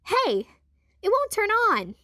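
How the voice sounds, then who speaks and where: Woman voicing an excitable, high-pitched nerdy girl. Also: slow pace, dry recording, clean studio sound, exaggerated voice. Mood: startled. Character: excitable, nerdy young twin girls who love experiments.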